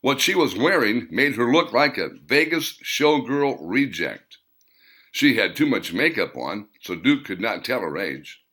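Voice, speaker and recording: A man reading his own story aloud in a plain, unhurried narrating manner. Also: mid-range voice, big pitch swings, bright, forceful tone.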